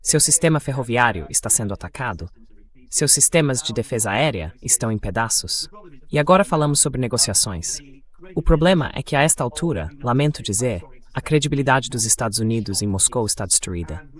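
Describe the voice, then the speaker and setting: A medium-pitched male AI dubbing voice lays out political analysis. It speaks as a commentator in a discussion. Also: animated, fairly quick. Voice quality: synthetic AI dubbing voice.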